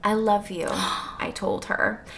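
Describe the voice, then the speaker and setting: A woman's voice: medium pitch, little pitch movement, slow, vocal fry, a bit bright, slight background noise. A woman co-hosting a podcast, giving a casual comedic book recap and acting out the characters in exaggerated, jokey voices. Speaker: podcast co-host.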